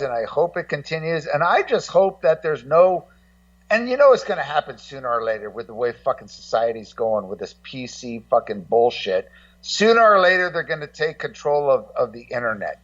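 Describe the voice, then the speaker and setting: A man co-hosting as a guest in casual, swearing chat, rambling excitably and repeating his words. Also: mid-range voice. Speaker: guest co-host. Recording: dull lo-fi, distant-sounding connection.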